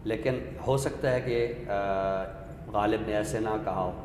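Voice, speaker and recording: A man giving an explanatory literary talk, deliberate and didactic, repeating his points for clarity. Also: on the low side, fairly even intonation. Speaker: literary expert guest on a discussion show. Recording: echoey hall-like room with noise.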